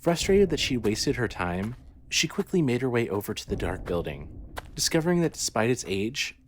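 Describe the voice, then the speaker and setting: A man's voice: mid-range voice, big pitch swings, moderate pace, slight background noise. A man reading scripted horror fiction as the narrator of an audio story.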